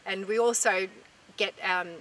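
A woman's voice: mid-range voice, fairly even intonation, unhurried, a bit bright. A middle-aged woman with an Australian accent, earnestly explaining as a farming group representative in an interview. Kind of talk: informative interview talk. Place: outdoors in open dry farmland. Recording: distant mic, outdoor noise.

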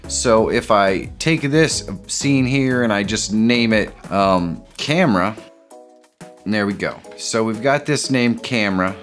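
Lower-pitched man teaching software. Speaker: tutorial host. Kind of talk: step-by-step software tutorial. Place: small home studio room.

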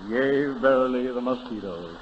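An actor as a pompous, solemn radio parson. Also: male voice, medium pitch, normal speed, close to an everyday voice. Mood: solemn, pious. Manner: pompous, preacherly. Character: solemn, sanctimonious country parson, an adult man. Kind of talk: 1930s radio comedy acting. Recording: muffled, hissy, echoey 1930s radio recording.

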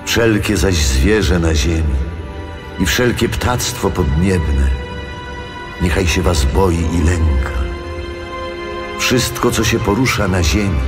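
Casting: God for scripture audio drama. Low, slow, measured, commanding. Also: male voice. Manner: solemn, measured, authoritative. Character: God of the Old Testament, solemn, commanding male deity.

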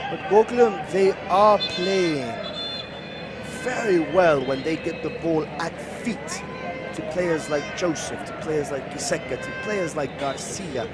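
A man giving excitable live play-by-play commentary on a football match. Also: on the high side. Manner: excitable, rising to shouts at chances. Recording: loud crowd noise behind commentary. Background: stadium crowd roar.

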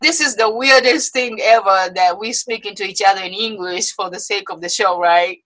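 A woman from Osaka with a Japanese accent, hosting a video-call interview show in a bubbly, excitable way, laughing often. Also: mid-range voice, moderate pace, low-quality recording. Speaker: host. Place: indoors before a movie-themed backdrop. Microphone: webcam video call.

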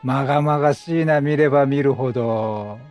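A man's voice: medium pitch, normal speed, a little mellow. A middle-aged man giving casual, chatty game commentary, quipping at the characters as he plays. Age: 30s or 40s. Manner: chatty, reacts and quips at the characters. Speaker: gameplay commentator. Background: game music.

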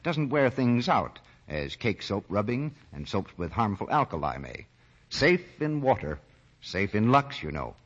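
A man's voice: lowish voice, big pitch swings, measured pace, fairly bright tone. A man reading scripted announcements and commercials as a 1940s radio announcer. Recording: muffled, rough 1940 radio recording.

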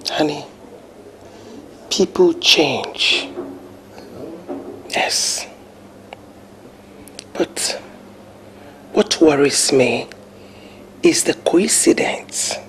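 A reasonable Nigerian wife in a film drama, with a measured, reassuring delivery. Faint noise sits behind her voice. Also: sits low, low-quality recording. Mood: thoughtful. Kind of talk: Nollywood domestic film drama.

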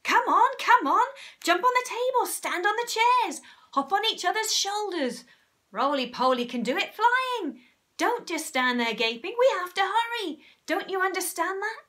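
A woman voicing a frantic, bossy male monkey father who shouts orders. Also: sits high, sing-song, measured pace, heavily acted.

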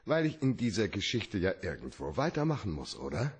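An actor playing a hard-boiled, wry private detective in a noir radio drama, with a gravelly, laconic delivery. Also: male voice, lowish voice, very animated, no room sound, exaggerated voice. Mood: casual, probing. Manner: laconic, dry, slow.

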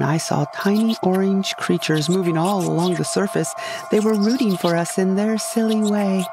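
A narrator telling a first-person sci-fi comedy story in a florid, dramatic, scripted storytelling style. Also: medium pitch. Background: music behind the voice.

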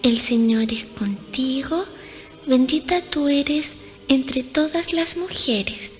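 A woman playing a gentle, devout little girl in a radio drama, speaking in a hesitant, childlike way. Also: high-pitched, slow, faint music behind. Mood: reverent. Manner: hesitant, childlike, repeats word starts.

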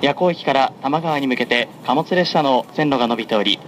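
Male train announcer over clear carriage noise. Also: medium pitch, a bit bright, low-quality recording. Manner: formal, measured, polite. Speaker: train conductor making onboard announcements. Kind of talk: formal scripted train announcement. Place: onboard a running train, over the announcement system. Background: train running noise.

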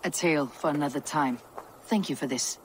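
A man's voice: on the high side, fairly quick, slight background noise, close to an everyday voice. An actor playing a guarded, commanding king of the gods in a video game, terse and resolute. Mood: curt, courteous. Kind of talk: video game cutscene acting.

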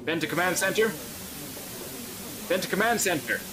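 A man's voice: sits high, moderate pace, natural voice. Man playing a grim officer, lightish voice. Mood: brisk. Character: brisk, grim space station officer. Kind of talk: amateur audio drama acting. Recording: clearly noisy background.

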